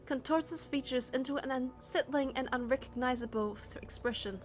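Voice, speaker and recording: A woman narrator reading a first-person story from a script in an expressive, suspenseful, dramatic way. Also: high voice, brisk, soft background music.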